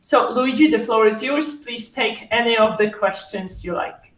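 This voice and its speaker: A woman moderating a panel, passing the floor in a brisk, orderly way. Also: a little high, echoey room, distant mic, low-quality recording.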